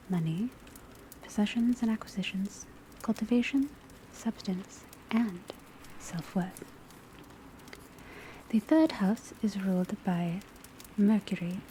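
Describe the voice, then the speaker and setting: A woman playing a calm, studious witch academy professor, lecturing in a soft, breathy, mostly whispered ASMR style with measured, deliberate delivery. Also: medium pitch, slight background noise, lightly acted, rough recording. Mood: calm, instructive. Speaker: teacher lecturing a class. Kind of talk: ASMR classroom roleplay, soft-spoken lecture. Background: faint patter of rain on rooftop.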